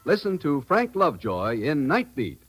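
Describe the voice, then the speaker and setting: A man's voice: fairly high pitch, wildly swooping pitch, moderate pace. A man with an American accent announcing in the polished style of 1950s radio. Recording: vintage radio recording with music.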